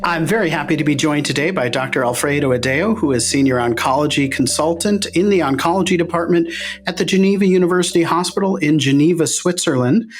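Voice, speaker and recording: A man hosting an interview podcast as a medical oncologist, reading his intro and then asking questions in a measured, careful way. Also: a little high.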